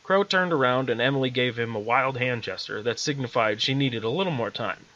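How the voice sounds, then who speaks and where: Male storyteller, bright clear-cut tone, reading his own short fiction, slightly dull lo-fi recording. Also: medium pitch, a little fast. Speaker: storyteller, author.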